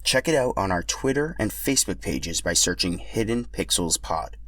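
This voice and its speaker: Low-voiced man narrating, dry close sound. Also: animated, moderate pace, a bit bright, no room sound. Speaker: podcast host and storyteller. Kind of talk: scripted narration.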